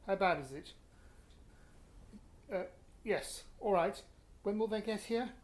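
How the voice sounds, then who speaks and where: A man's voice: sits high, slow pace. A man with a British accent playing a terse, dry-humoured middle-aged father in a naturalistic radio play. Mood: worried, shaken.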